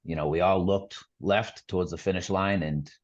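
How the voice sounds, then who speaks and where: A man in his forties from the Boston area with a Boston accent, a podcast guest telling his story in a relaxed, chuckling way. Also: low voice, moderate pace, lo-fi sound. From Boston area, USA. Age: 40s. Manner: relaxed, chuckling, many fillers. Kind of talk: casual storytelling.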